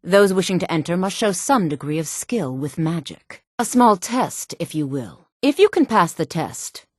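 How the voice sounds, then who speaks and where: An actress playing a proud, composed female senior wizard in a fantasy video game, with a sultry voice and formal, measured, lofty delivery. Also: on the low side, sing-song, dry recording, clean studio sound. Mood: formal, guarded.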